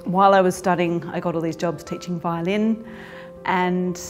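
A woman music educator telling her story in an interview, lively and animated. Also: on the low side, moderate pace. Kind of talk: interview answers.

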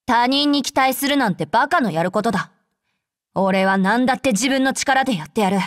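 Woman voicing a brash high-pitched tomboy fighter. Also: fairly quick, dry recording, studio quality, natural voice. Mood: gruff, curt. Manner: rough, curt masculine speech, easily flustered. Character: brash hot-tempered tomboyish young female fighter, secretly caring. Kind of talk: anime game character voice lines.

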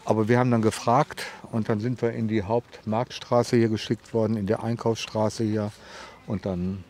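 A man's voice: on the low side, level tone, normal speed, a little mellow. A man being interviewed as a visitor outdoors, explaining things in an unscripted, conversational way. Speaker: garden show visitor interviewed. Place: outdoors on garden show grounds. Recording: outdoor interview with ambient noise.

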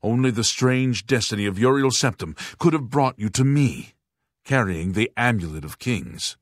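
A man playing a grave aging monk and former guard captain in a fantasy video game, speaking formally and deliberately with a husky voice. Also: medium pitch, lively intonation, no room sound, studio quality, natural voice. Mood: solemn. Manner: formal, solemn, deliberate enunciation.

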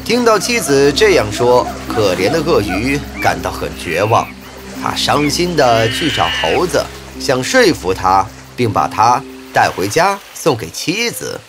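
A man's voice: mid-range voice, huge pitch swings, unhurried. A man narrating a scripted fairy tale for children as a storyteller. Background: music.

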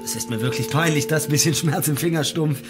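An actor playing a sheepish, flippant young captive who panics easily in a crime radio drama. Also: male voice, fairly high pitch, a little fast, over music, lightly acted.

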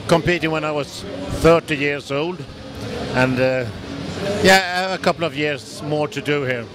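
A man in his forties with a Swedish accent, answering interview questions in halting English with many restarts, speaking into a handheld mic at a busy expo booth. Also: fairly high pitch. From Sweden. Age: 40s. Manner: halting, non-native grammar, frequent restarts. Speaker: bodybuilder guest. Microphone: handheld mic held by interviewer. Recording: noisy trade show floor.

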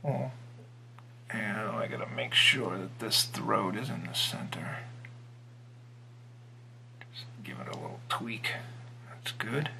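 A man's voice: on the low side, animated, takes it slowly, vocal fry, long pauses, sharp tone, slight background noise, poor audio. A middle-aged man giving a casual fly tying tutorial at his desk, thinking aloud and dropping to mutters while he works.

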